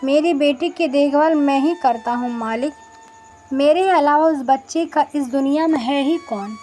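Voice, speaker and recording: A woman's voice: sits high, measured pace, warm tone. A woman voicing a humble, trusting widowed male potter, deferential and earnest, in a moral story.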